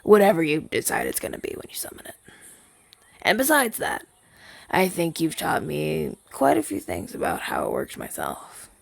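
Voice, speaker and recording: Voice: mid-range voice, wildly swooping pitch, normal speed, vocal fry, exaggerated voice. A man voicing a shy, earnest crow familiar in an ASMR roleplay, hesitant and rambling, with stammers. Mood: earnest, explaining.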